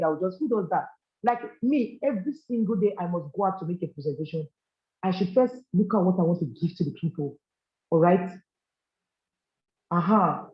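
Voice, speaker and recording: A man giving a business leadership training, earnest and coaching, often checking in with "all right?". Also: high-pitched. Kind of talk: live training presentation to a group. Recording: roomy, muffled lo-fi recording.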